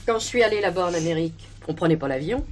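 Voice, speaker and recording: A woman's voice: lowish voice, expressive, quick pace, darkish tone, soft background music, lightly acted. Chatty, brash older showgirl-turned-dresser played by an actress. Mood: boastful, nostalgic. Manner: garrulous, boastful, familiar, earthy. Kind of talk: French television stage comedy-drama acting.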